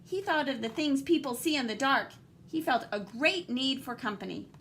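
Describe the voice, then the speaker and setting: Female teacher storyteller, high voice, expressive and dramatic picture-book delivery for young kids, slight background noise. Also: moderate pace. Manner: animated, dramatic emphasis, guiding and questioning. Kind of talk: expressive children's read-aloud with asides to young listeners.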